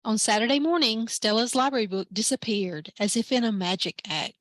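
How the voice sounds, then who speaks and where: A woman librarian reading a children's picture book aloud at story time, lively and expressive. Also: fairly high pitch, brisk, dry recording. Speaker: library branch manager, story time reader.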